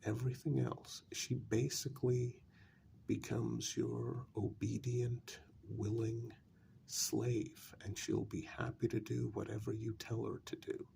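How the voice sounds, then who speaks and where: Give the part to a calm, coldly persuasive male vampire acted by a man in an intimate ASMR role-play. His soft, breathy voice is full of heavy creak. He speaks very slowly and deliberately, laying out each point with pauses, over faint background noise. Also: mid-range voice. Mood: explaining, grave. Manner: slow, deliberate, pausing between phrases.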